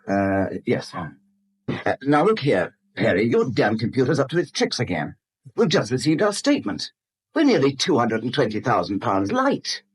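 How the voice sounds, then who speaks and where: An actor playing a grumbling middle-aged English chief accountant with a husky voice, in a 1970s radio drama. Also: male voice, medium pitch, huge pitch swings, normal speed. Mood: irritated.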